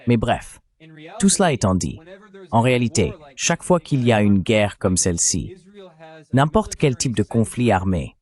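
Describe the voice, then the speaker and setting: A man's voice: fairly low pitch, darkish tone. A man delivering political commentary as an analyst, in an emphatic, argumentative way.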